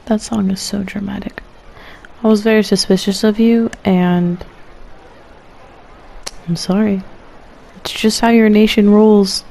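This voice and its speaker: A woman's voice: mid-range voice, flat delivery, unhurried, vocal fry, pauses often, dark tone, faint music behind. A woman streaming a game, reacting casually with slangy, playful and dramatic asides.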